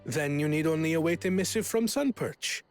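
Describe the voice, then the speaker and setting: An actor playing a cheerful young prince in a video game, speaking briskly and upbeat. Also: male voice, a little high, faint music behind, natural voice.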